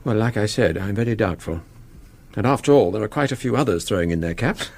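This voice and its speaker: Modest British scholar, fast-talking, husky, animated intonation, diffident, radio drama. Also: male voice, fairly low pitch. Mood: diffident, earnest. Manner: diffident, earnest. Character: modest, self-doubting male academic, earnest and deferential.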